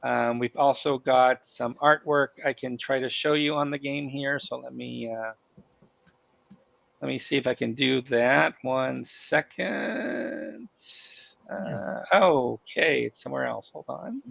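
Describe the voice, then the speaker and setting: A man with a cold hosting a live company stream, talking in halting bursts full of fillers and restarts. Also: medium pitch, vocal fry, a bit bright, no echo, highs cut off. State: has a cold, losing his voice. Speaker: host of company town hall stream.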